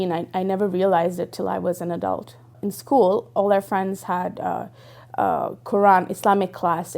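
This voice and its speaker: Young woman, raised in Dubai, earnest personal testimony, reflective, many ums and restarts, mid-pitched. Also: mellow. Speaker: interviewee.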